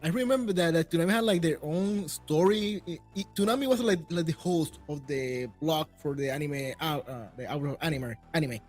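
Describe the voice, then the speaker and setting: A man hosting a casual panel chat in English, telling stories in an animated way. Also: on the high side, a little fast, few pauses, faint music behind. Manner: non-native English phrasing, animated storytelling. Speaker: show host.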